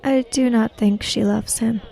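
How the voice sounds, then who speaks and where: A woman roleplaying a formal, reserved traveller in a samurai fantasy tabletop game, speaking in careful, measured phrases. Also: on the high side, steady tone, gravelly, dark tone, faint music behind, low-quality recording. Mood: measured, pensive. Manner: formal, measured, avoids contractions. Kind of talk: in-character roleplay dialogue.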